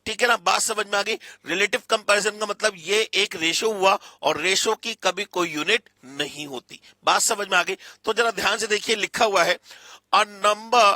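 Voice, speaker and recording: A man's voice: sits high, sharp tone, no echo. A man with an Indian accent lecturing students as a teacher, emphatic, repeating phrases and answering his own questions. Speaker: maths teacher. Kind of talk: lively lecture to students, Hindi mixed with English.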